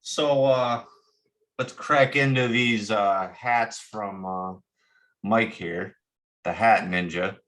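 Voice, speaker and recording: A man's voice: fairly low pitch, slow pace, fairly bright tone. A man with a Buffalo accent casually showing and describing items as co-host of a hobby show. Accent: Buffalo, New York. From northeastern US. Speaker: co-host of a cap and card show.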